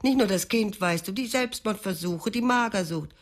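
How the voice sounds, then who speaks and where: A woman's voice: mid-range voice, moderate pace, close to an everyday voice. An actress playing a cold, contemptuous older mother of a grown son in a radio drama. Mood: scornful. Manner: contemptuous, scornful. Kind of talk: German radio drama acting.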